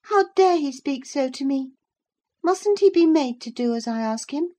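A woman voicing a spoiled, imperious young girl in an audiobook, petulant and quick to take offence. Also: high voice, lively intonation, warm tone, voice pushed up. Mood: indignant. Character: haughty, indignant young girl, spoiled and tearful. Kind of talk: audiobook character voice.

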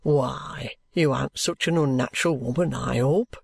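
A man reading aloud as a middle-aged police detective who asks brisk, probing questions in a firm voice. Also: medium pitch, gravelly, husky. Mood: stern.

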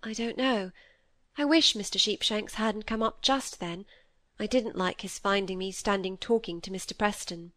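A woman voicing a gentle, earnest young woman in an audiobook, apologetic and loyal. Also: mid-range voice, moderate pace, no room sound, close to an everyday voice. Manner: earnest, soft-hearted. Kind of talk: acted audiobook dialogue.

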